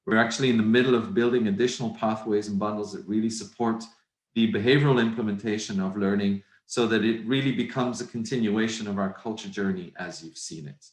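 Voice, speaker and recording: A middle-aged man from Belgium, a native Dutch speaker with a Flemish accent, presenting at a virtual conference in a measured, reflective way with many ums. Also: lowish voice. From Belgium (Flanders). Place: home office, indoors. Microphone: video call. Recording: roomy video-call audio.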